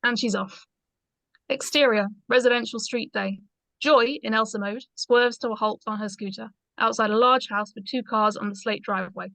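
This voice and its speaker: High-voiced woman narrating a script. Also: steady tone, normal speed, vocal fry, fairly bright tone, no room sound. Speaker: narrator reading stage directions.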